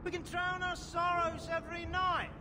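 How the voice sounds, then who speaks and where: Drunken working miner, very high voice, slow delivery, coarse and joking, game dialogue, noisy recording. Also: male voice, completely flat delivery. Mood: boisterous. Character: rough, drunken, boisterous working-class male miner. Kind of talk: video game acting.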